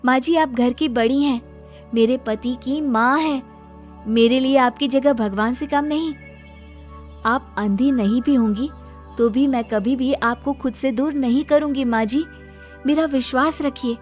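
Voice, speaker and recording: Cartoon daughter-in-law, played by a woman: devoted, principled, earnest, fairly high voice over music. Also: unhurried, darkish tone. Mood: earnest, reassuring. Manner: earnest, respectful, firm. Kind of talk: Hindi cartoon story dubbing. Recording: music behind the voice.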